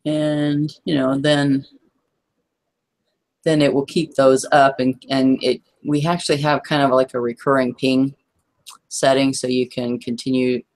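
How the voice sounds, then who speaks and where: Hoarse woman explaining a system chart. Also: very deep voice, little pitch movement, a little slow, a little mellow. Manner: explanatory, checks in with listeners. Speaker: guest presenter. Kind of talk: webinar presentation walking through a chart.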